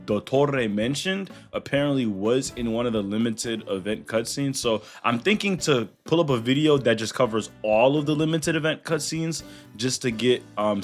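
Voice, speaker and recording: A young man giving casual, chatty let's play commentary, slangy and reacting aloud. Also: mid-range voice. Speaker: gaming YouTuber.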